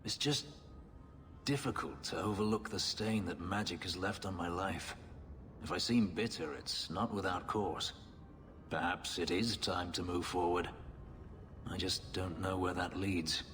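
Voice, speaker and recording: Game role: bitter ex-slave elf warrior, very low solemn voice, reverberant hall sound. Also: male voice, a bit bright, faint music behind. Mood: bitter, earnest. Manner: brooding, solemn, measured. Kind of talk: dramatic video game acting. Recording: long hall reverb, background noise.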